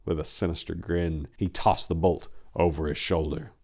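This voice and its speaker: A man with a low voice gives a dramatic reading as narrator. His delivery is brooding, deliberate and theatrical. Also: fairly even intonation, a little mellow. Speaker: narrator and channel host.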